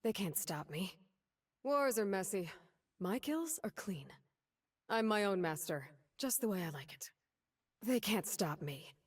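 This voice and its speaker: A woman's voice: mid-range voice, expressive, a little slow, pauses often. An actress voicing a confident, rebellious young female assassin in a video game, with clipped, serious, defiant lines. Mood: cocky, cool. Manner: clipped, cocky, serious delivery.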